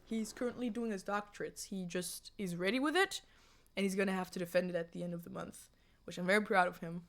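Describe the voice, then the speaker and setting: A woman's voice: mid-range voice, fast talker. A woman in her twenties chatting on a livestream from a home room into a desk condenser mic. She answers viewer chat casually and laughs often. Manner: giggly, laughs often, jokey and animated. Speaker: streamer. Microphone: USB condenser desk mic.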